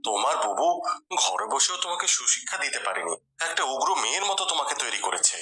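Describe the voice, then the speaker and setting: A man's voice: mid-range voice, big pitch swings, fast talker, reverberant, noisy background, lightly acted. A man voicing a resigned middle-aged father in a cartoon.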